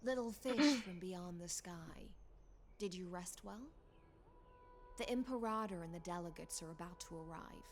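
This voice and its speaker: A woman voicing a proud swordfish knight commander in a game, speaking in a formal, measured, courtly way. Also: fairly low pitch, slight background noise.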